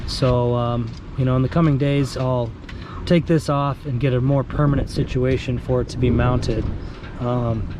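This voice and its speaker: A man with a hoarse voice from a cold, casually explaining his boat's gear step by step with many pauses and ums. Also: medium pitch, fairly even intonation. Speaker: sailboat owner vlogger. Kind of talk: casual show-and-tell vlog. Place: outdoors on a sailboat in a marina, windy. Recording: windy outdoor camera audio. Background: wind and harbor noise.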